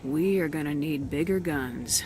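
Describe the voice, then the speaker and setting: A resolute military commander in a video game. Her voice is slightly low, and she talks directly with no fuss. There is clear background noise around her. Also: unhurried. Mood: firm, resolute. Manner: direct, no-nonsense. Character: firm, decisive female military commander. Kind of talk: video game cutscene acting.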